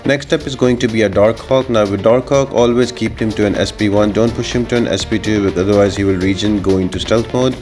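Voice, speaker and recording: A man's voice: fairly low pitch, fairly even intonation, fast talker, few pauses, a little mellow, soft background music. A man doing instructional commentary for a video game guide, explaining tactics step by step. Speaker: gaming video creator.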